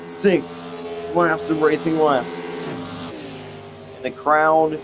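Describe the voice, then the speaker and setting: A young male commentator calling a simulated stock car race play-by-play, halting and often repeating himself, with engine noise and music behind him. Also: a little high, fairly even intonation. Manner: halting, repeats phrases, restarts.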